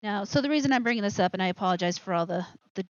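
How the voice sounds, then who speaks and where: A woman's voice: mid-range voice, expressive, racing, no room sound, highs cut off. A woman hosting a live show with casual commentary, rambling and interrupting herself with asides.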